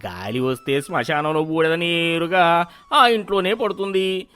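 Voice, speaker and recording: A man voicing a sly, jealous village schemer in a cartoon moral story, theatrical and ominous with drawn-out emphasis. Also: fairly high pitch, sharp tone, clear, resonant tone.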